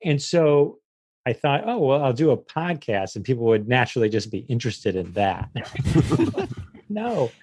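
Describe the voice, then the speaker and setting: A man's voice: medium pitch, measured pace, slight background noise. A man chatting casually as a comedian guest on a podcast, telling stories in a self-deprecating way and acting out the quotes. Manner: self-deprecating, animated retelling with acted quotes. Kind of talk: casual comic interview chat.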